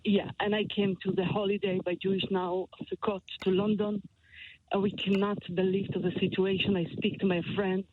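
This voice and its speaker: A woman's voice: mid-range voice, flat delivery, moderate pace, no echo, narrow, tinny audio. Urgent Israeli woman calling in. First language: Hebrew. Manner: urgent, emotional, broken English. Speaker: caller. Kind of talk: phone-in call.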